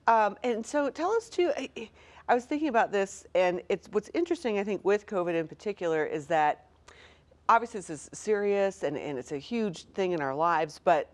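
A woman's voice: medium pitch, animated, normal speed. A woman in her thirties hosting a studio talk show in a casual, conversational way. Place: TV studio, seated indoors.

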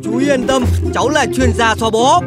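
A woman's voice: fairly high pitch, normal speed, lightly acted. A woman playing an eager, overconfident young animal friend in a children's cartoon, boastful and keen to help. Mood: confident, boastful. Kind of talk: children's cartoon dub acting. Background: cartoon background music.

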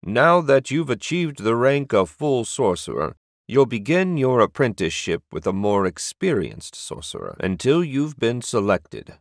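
Man voicing a slow, solemn old high sorcerer. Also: big pitch swings, no room sound, studio quality. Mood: grave advice. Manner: slow, ceremonious. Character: solemn, aged high sorcerer pronouncing test verdicts.

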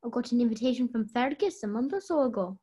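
A woman's voice: fairly high pitch, animated, fast, mellow, husky. A young woman playing an upset, hesitant, defensive party guest in an amateur radio play. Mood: wistful, defensive. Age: young adult.

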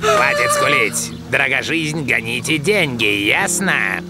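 A man's voice: medium pitch, huge pitch swings, slow. A man voicing a bullying dog swordsman and robber in a dubbed cartoon, threatening and brusque. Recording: loud music under the voice.

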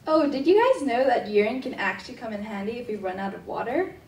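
A woman's voice: fairly high pitch, animated, fast talker, darkish tone. A teenage girl playing a sensible, firm young peacemaker, speaking earnestly in a school radio drama on an echoey stage. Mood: helpful. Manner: earnest, reasoning. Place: indoor theatre stage. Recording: echoey stage hall, distant microphone.

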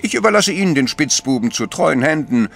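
An actor playing an astute, patient priest detective in a German audio drama, explaining deliberately with gentle chiding. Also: male voice, a little high, animated, creaky, faint music behind, full character voice. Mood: brisk.

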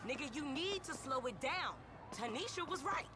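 High-pitched, brash young street woman. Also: lively intonation, normal speed. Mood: sassy, pushy. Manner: slangy, sassy, pushy. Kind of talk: video game voice acting, street slang. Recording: car and street noise behind. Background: car engine and street ambience.

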